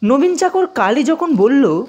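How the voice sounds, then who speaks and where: A woman reads a scripted story for an audio production. Her voice sits fairly high and moves in lively swings of pitch, giving the narration an expressive shape. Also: a little slow, muffled recording.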